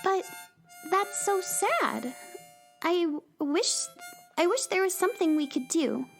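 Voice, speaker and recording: A woman playing Mary Farfisa, an earnest, soft-hearted young girl who travels through space in a children's radio drama. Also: very high voice, huge pitch swings, slow, full character voice. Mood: sad. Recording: music playing behind.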